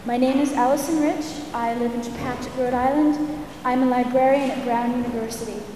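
Soft-voiced woman speaking up in a hall. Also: high-pitched, steady tone, brisk. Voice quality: naturally soft voice pushed louder. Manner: earnest, firm. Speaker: librarian speaking at a public hearing. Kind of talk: public comment speech. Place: large public meeting hall. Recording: echoey hall with room noise.

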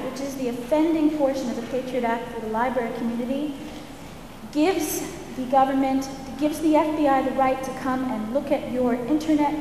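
A librarian, a woman with a naturally soft voice pushing herself to speak louder, gives an earnest, firm public comment at a hearing. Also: high voice. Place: large public meeting hall. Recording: echoey hall with room noise.

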